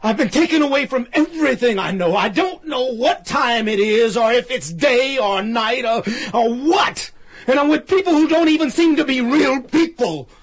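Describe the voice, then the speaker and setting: A man's voice: very high voice, moderate pace. An actor playing a bewildered, agitated young man in a radio drama. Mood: frantic, crying out. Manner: agitated, pleading. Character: bewildered, panicky young man trapped by strangers.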